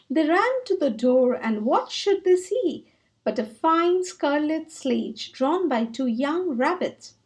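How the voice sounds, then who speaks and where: A woman reading a children's story aloud in expressive storybook narration. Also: high voice.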